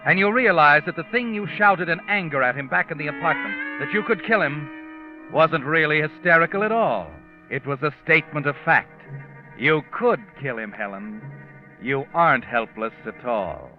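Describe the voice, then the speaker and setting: An actor playing a sinister, all-knowing narrator of a radio crime mystery, with an insinuating, knowing delivery. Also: male voice, fairly high pitch, lively intonation, moderate pace, natural voice. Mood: ominous, intense. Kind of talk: 1940s radio suspense narration in second person. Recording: muffled old radio recording with music.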